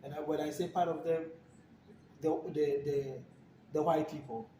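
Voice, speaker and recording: A Ghanaian man talking casually and thoughtfully, pausing and repeating words, recorded on a phone from a distance in a room. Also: on the high side, steady tone, warm tone, reverberant, a little hiss or hum. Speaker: guest. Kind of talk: casual conversation.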